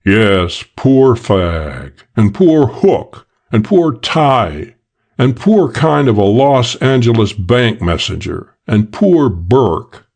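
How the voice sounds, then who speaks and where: A man's voice: mid-range voice, very animated, slow, darkish tone, exaggerated voice. A man acts out a hard-boiled middle-aged detective in a crime audiobook. He is stern and curt, giving orders bluntly and allowing no argument. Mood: bitter scowl. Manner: blunt, commanding.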